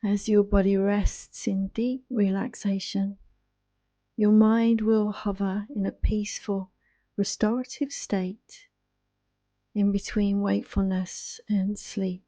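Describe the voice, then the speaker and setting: A woman guiding a yoga nidra relaxation in a soothing, hushed, unhurried manner, close to the microphone. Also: mid-range voice, flat delivery, no room sound, rough recording. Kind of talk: guided relaxation meditation.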